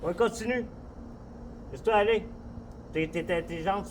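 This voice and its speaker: A man with a Quebec French accent chatting casually in a rambling, halting way, repeating himself and swearing informally. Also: high voice, slight background noise. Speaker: vlogger talking with an AI assistant.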